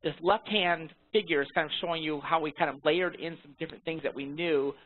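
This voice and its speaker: A man giving an informal technical presentation on a conference call, halting, often repeating and rephrasing his words. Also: fairly high pitch, phone-quality sound. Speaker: research presenter. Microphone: phone conference call. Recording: thin, band-limited phone conference line.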